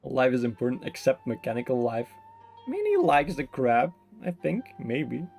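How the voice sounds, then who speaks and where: A man's voice: mid-range voice, very animated, normal speed, vocal fry, darkish tone. A man giving casual, playful live commentary as he streams a game, joking as he goes. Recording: faint game music behind.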